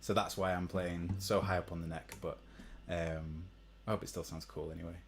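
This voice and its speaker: Deep-voiced man chatting casually. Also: normal speed, slight background noise. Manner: relaxed, rambling with many ums. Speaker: musician hosting a live stream. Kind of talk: casual chat to viewers between songs. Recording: faint guitar behind.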